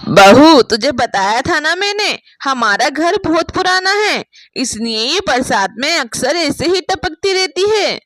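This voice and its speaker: A woman voicing a patient, weary elderly mother-in-law who pleads and gently chides in a family story. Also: sits high, sing-song, measured pace, hardly any pauses, dry recording, heavily acted. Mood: soothing. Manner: chiding, pleading.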